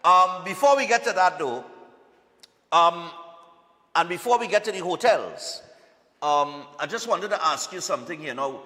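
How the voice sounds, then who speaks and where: A man's voice: high voice, animated, moderate pace, pauses often, pushed and bright, long reverb tail. A man hosting a live morning TV show, chatty and repetitive, often checking in with "all right?".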